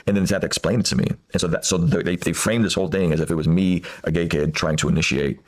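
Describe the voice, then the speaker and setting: A man's voice: sits low, steady tone. A man whose first language is Russian, telling a personal story earnestly on a podcast, with frequent restarts. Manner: rapid, earnest, with many restarts. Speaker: podcast guest.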